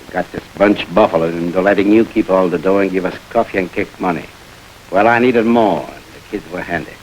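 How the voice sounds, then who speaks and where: An actor playing a defiant gangster henchman in a 1930s crime film, gruff and insolent. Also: low-pitched, fairly quick, a little hiss or hum, lightly acted, low-quality recording.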